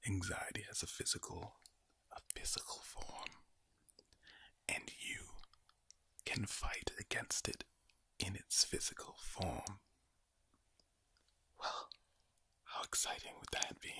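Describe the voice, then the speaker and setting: Low, breathy whispering ASMR man. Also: sharp tone. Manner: slow repeated phrases, villain-like menacing tone. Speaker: ASMR video creator. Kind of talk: ASMR, soothing pep talk.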